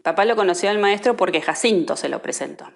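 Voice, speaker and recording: A woman's voice: medium pitch, expressive, fast, gravelly. A woman telling anecdotes in an interview, conversationally, voicing the quoted dialogue as she goes. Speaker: interviewee telling family memories.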